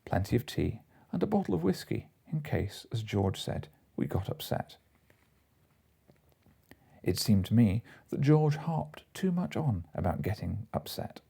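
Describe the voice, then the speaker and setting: A man narrates classic fiction in the manner of an audiobook. His voice is low and very soft-edged and dark, with a husky grain. He reads a comic story from a script. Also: big pitch swings, unhurried.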